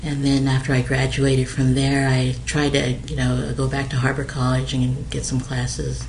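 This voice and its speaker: Middle-aged woman from samoa, halting with lots of uhs, pretty monotone. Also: extremely low pitch, slight background noise, rough recording. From American Samoa, raised in Hawaii. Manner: hesitant, many fillers. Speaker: interviewee. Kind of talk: oral history interview.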